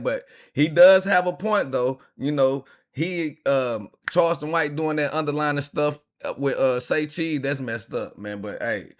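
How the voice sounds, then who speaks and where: A man's voice: mid-range voice, fairly bright tone. A man hosting a reaction video, commenting casually in laid-back slang with fillers.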